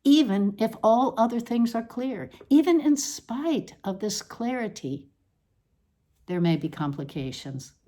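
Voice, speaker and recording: A woman giving a deliberate, emphatic, declamatory reading of a scripted literary monologue, with measured phrasing. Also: medium pitch, dark tone. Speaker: reader of a literary essay.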